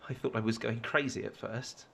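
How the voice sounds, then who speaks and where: An actor playing an anxious abused man in a radio play, defensive and halting as he excuses his controlling partner. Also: mid-range voice, steady tone, gravelly, a little hiss or hum, close to an everyday voice. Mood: quiet, troubled.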